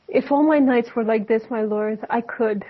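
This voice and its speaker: Brief: timid young devout man, hesitant and breathy, slightly high, dull lo-fi, read by a woman. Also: normal speed, close to an everyday voice. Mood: pleading. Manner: hesitant, pleading. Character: shy, trembling young man, devout knight. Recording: dull lo-fi recording.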